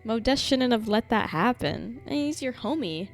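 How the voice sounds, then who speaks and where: High-voiced, creaky woman livestreamer. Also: animated, moderate pace. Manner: slangy, teasing, reactive. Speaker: game livestreamer. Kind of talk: casual live gameplay commentary. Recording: game music behind the voice.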